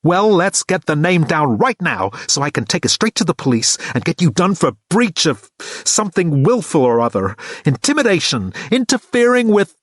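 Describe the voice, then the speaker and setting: A man voicing an exasperated, sharp-tongued young woman who snaps back sarcastically in an audiobook. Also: fast, few pauses, slightly rough, no room sound, studio quality, natural voice. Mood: furious. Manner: shrill, sarcastic outbursts. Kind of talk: audiobook character voice.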